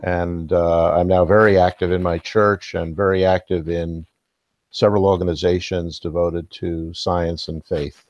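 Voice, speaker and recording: Older retired scientist, very deep and slow, dry dull recording. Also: male voice, little pitch movement, darkish tone, dry recording. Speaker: panel guest. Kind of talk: casual chat.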